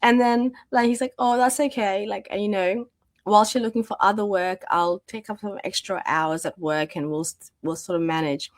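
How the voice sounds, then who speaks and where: A woman's voice: medium pitch, fairly even intonation, moderate pace, dry recording, studio quality. A woman in her thirties, a guest telling her story in a chatty way with many fillers while losing her voice. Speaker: guest, domestic violence practitioner. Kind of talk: conversational interview storytelling.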